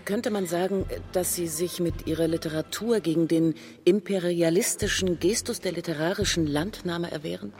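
A woman's voice: fairly low pitch, normal speed, few pauses, darkish tone, close to an everyday voice. A woman playing an earnest, insistent literary interviewer in a radio drama, formal and somewhat pompous in manner. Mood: earnest, probing. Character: earnest, probing literary interviewer, female, high-flown questions.

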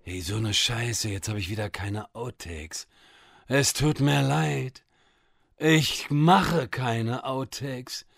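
Smug wizard for a fantasy audio play, voiced by a man: gravelly, unhurried, deadpan, very dry close sound. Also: medium pitch, no echo, heavily acted. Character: boastful great male wizard. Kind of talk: comedy audio drama acting.

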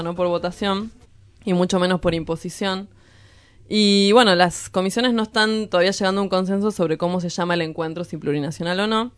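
A woman's voice: on the low side, clear, resonant tone, no room sound. A woman with an Argentine accent hosting a radio show, chatting casually and emphatically about activism in colloquial speech. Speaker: radio host.

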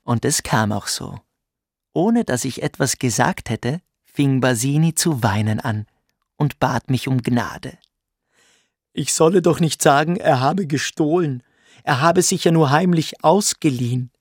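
An actor playing a smug, quietly cruel young boarding-school pupil, telling his story theatrically in a radio play. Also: medium pitch, animated, measured pace, slightly rough, no echo, clean studio sound. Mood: scornful. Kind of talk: dramatic first-person storytelling in a radio play.

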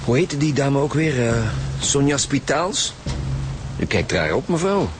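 A man's voice: mid-range voice, sing-song, measured pace, background noise. A man with a Flemish accent playing a shrewd senior homicide inspector in a radio drama, dry and probing with short questions. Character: shrewd senior homicide chief inspector, Romain Van Deun.

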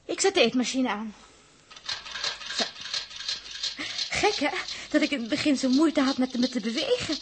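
A woman acting a tender, anxious young space crewmate in a 1960s radio drama, gentle, pleading and emotional. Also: sits high, animated, normal speed, pauses often. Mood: caring. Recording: noisy old radio drama recording.

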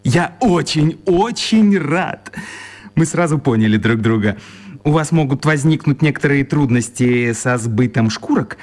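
A man's voice: mid-range voice, animated, measured pace, a little mellow. An actor playing a smarmy, pompous man who hates stray cats in a children's radio play, speaking in a florid, ingratiating, theatrical way. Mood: pleased, obliging.

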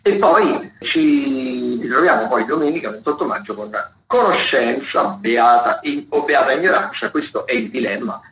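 A man giving a loose radio rundown of events as a critic, haltingly, searching for words and correcting himself. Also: mid-range voice, expressive, creaky, slight background noise. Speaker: theatre critic presenting a weekly events agenda. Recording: roomy, dull lo-fi radio sound.

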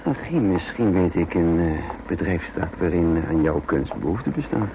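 Worldly intelligence officer, low voice, measured, superior, radio play, dull noisy recording. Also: male voice, animated. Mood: assured, recruiting. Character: composed, worldly male secret service agent. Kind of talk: radio drama acting.

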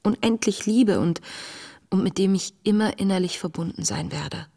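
An actress playing an intense, devout young woman diarist in a radio play, speaking in a hushed, introspective, tender and grieving way. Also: mid-range voice, moderate pace, gravelly. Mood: tender, resolute. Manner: hushed, introspective, often near a whisper. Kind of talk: intimate radio play monologue, diary reading.

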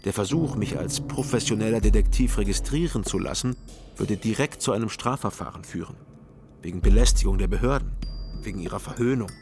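A world-weary, secretive private detective tells his own story in a radio crime play, acted by a man. The voice is fairly low, dry and matter-of-fact, with clear music behind it. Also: fairly quick, darkish tone, natural voice. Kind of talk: first-person crime radio drama narration.

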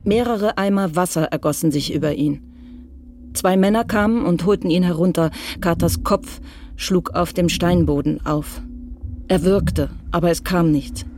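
A woman narrating a radio crime drama in a sober, measured, literary style. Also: on the low side, darkish tone, a little hiss or hum.

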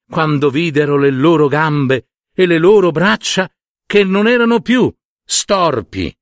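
A man narrating a fairy tale expressively as a storyteller, with dramatic, deliberate pauses. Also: a little high, dry recording, clean studio sound.

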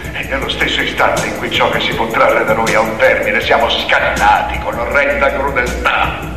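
An actor playing an imposing old boar, a solemn revolutionary orator giving an emphatic theatrical political speech full of rhetorical questions. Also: male voice, mid-range voice, recorded from a distance, natural voice. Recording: echoey hall from afar, music behind. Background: music.

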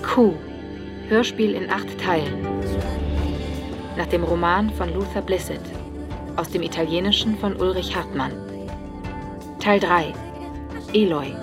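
A woman's voice: fairly low pitch, a little slow, pauses often. A woman formally announcing titles and credits for a radio drama, reading from a script. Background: music.

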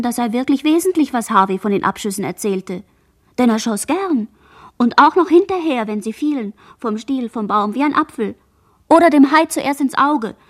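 Husky, high-voiced dazed girl. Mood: bitter, musing. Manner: halting, fragmented, introspective delivery, dropping into whispers. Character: dazed traumatized girl, sole survivor of murders at sea. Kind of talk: literary radio drama monologue.

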